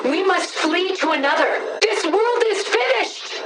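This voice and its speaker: High-voiced imperious villainess, echoing. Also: female voice, expressive, measured pace, exaggerated voice. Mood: persuasive, urgent. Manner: imperious, dramatic, emphatic delivery with formal phrasing. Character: commanding, possessive female villain piloting a war machine, pleading and imperious. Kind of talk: video game combat voice lines. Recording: distant, echoing voice with background noise.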